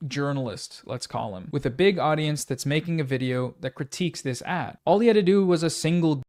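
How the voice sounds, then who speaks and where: A man narrating a scripted but conversational video essay, measured and argumentative. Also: on the high side, expressive, darkish tone.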